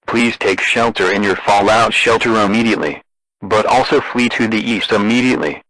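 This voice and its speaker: A man with a synthetic, deadpan and formal delivery, reading a scripted emergency broadcast announcement. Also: lowish voice, dry recording, poor audio.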